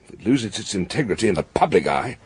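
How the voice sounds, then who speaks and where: An actor playing a troubled, proud and embittered husband, speaking gravely in a radio drama. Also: male voice, on the low side, big pitch swings, quick pace, a little mellow, no room sound, full character voice. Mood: bitter, troubled. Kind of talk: naturalistic radio drama acting.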